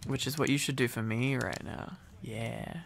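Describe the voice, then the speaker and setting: A man's voice: medium pitch, fairly even intonation, moderate pace, vocal fry, a bit bright, slight background noise. A man doing a boyfriend ASMR roleplay in a playful, teasing, affectionate way, rambling intimately as a needy, silly boyfriend close to the microphone.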